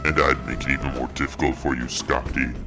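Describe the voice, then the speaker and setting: Give this ancient guardian an extremely deep voice. He is solemn, imposing and scornful, a stern warrior sentinel in a fantasy cartoon, with music clearly playing behind him. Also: level tone, fairly quick. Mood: stern contempt. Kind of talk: animated fan-dub acting.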